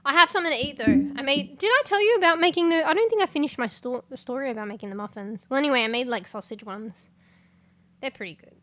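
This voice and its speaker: A congested woman chatting casually on a livestream, rambling, swearing and breaking into sudden loud exclamations as she reads things aloud. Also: sits high, quick pace. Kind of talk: casual stream chat, reading aloud.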